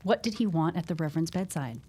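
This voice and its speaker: A woman's voice: low-pitched, lively intonation, quick pace, mellow, slight background noise. An actress playing a gossipy, suspicious neighbour in a period audio drama, confiding and matter-of-fact as she talks. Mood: insistent, knowing.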